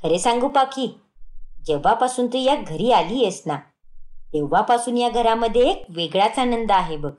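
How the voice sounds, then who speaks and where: Cartoon mother-in-law, middle-aged, bossy, proud, emphatic, lively intonation; female performer. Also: on the high side, normal speed, lightly acted. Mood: proud, approving. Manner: emphatic, scolding and praising in turns. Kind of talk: animated moral-story cartoon dialogue.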